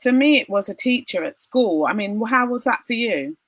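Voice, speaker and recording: Bright, bubbly female interviewer; dry, close video-call audio. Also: a little high, animated, normal speed, no room sound. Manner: bubbly, laughing often, emphatic. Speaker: podcast host and opera singer.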